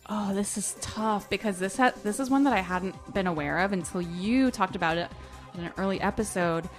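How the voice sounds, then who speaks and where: A woman co-hosting a podcast in casual banter, talking in an animated, giggly, expressive way. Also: mid-range voice, a little fast, faint music behind. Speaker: podcast co-host. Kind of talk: casual banter between co-hosts.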